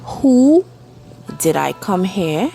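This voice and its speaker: A woman leading a guided meditation with spoken affirmations, soothing and deliberate, leaving long pauses between short phrases. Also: mid-range voice, sing-song, vocal fry. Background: soft background music.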